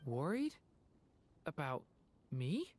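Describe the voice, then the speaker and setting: A woman voicing a gentle, earnest young boy in a video game, speaking softly and thoughtfully. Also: low-pitched, very animated, drawn-out words, a little hiss or hum, full character voice. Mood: hesitant, touched.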